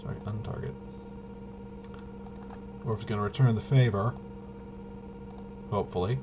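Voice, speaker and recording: A man's voice: on the low side, unhurried, noisy background. A man giving casual play-by-play of a tabletop roleplaying game, muttering and thinking aloud as he goes. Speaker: solo tabletop game player and host.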